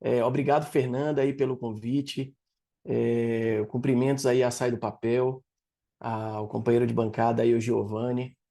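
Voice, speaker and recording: Gravelly, dark-voiced Bahian man presenting. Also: mid-range voice, level tone, moderate pace. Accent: Bahian Brazilian Portuguese. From Salvador, Bahia, Brazil. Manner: professorial, explanatory, with filler "é" and "né". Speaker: project leader presenting at an event. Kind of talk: conference presentation.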